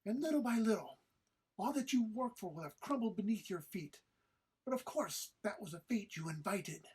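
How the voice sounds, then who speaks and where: Immortal mentor, played by a woman for horror-comedy audio drama: husky, expressive, menacing, deliberate, scornful lecturer. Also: mid-range voice. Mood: coolly menacing. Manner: stern, taunting, deliberate.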